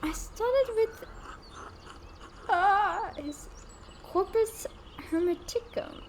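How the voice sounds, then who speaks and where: A woman's voice: very high-pitched, drawn-out words, darkish tone, slight background noise, pitched above the performer's own voice, exaggerated voice. Woman reading a bookish young artist. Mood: earnest reading. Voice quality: reads in her own voice, stumbling over hard names.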